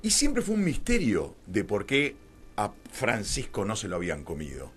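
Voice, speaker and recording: A man's voice: lowish voice, huge pitch swings, normal speed, slight background noise. A man with a Rioplatense Argentine accent telling anecdotes conversationally as a radio guest, wry and theatrical, acting out bits of dialogue. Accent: Rioplatense Argentine Spanish. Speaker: interview guest, filmmaker. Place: radio studio.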